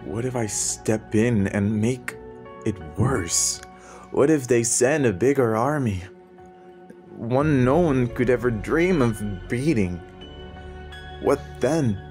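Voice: mid-range voice, slow pace, a little mellow, close to an everyday voice. A performer voicing an anxious, emotional male king of hell in a fan comic dub. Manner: frantic, pleading, easily distressed. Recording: faint music behind.

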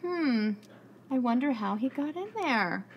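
A woman's voice: high voice, lively intonation, measured pace, highs cut off. A woman voicing a kindly, musing male artist from a children's storybook. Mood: thoughtful. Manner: musing, gentle wondering. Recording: clearly noisy room recording.

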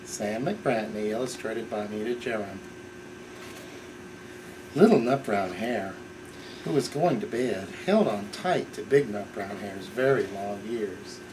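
A man's voice: fairly low pitch, lively intonation, a little slow. A middle-aged man reading a bedtime storybook aloud to a baby. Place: small living room, indoors. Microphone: camcorder a few feet away. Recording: noisy home camcorder, room hum.

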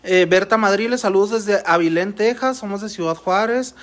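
A man, reading viewers' comments and greetings aloud during a home cooking livestream. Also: high-pitched. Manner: brisk announcer-like reading of names and greetings. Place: home kitchen, indoors.

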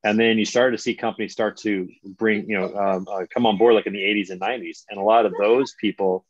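American guy in his 40s hosting a chat, lowish voice, upbeat, dull video call sound. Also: steady tone, fairly quick, no room sound. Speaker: host. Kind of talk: casual chat. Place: indoors at home, on a video call.

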